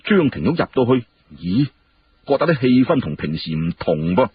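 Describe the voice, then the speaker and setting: Male storyteller, colloquial and dramatic, very varied pitch, dull radio-like sound. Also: moderate pace, slightly rough. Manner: animated, colloquial, conversational asides. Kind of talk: dramatic Cantonese audiobook storytelling.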